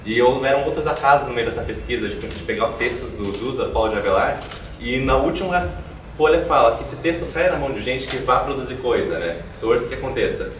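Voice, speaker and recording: A man's voice: medium pitch, lively intonation, a little fast. A young man giving informal, colloquial interview answers, thinking aloud as he goes. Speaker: theatre artist being interviewed. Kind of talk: animated conversational interview answers. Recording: roomy, distant mic, faint noise.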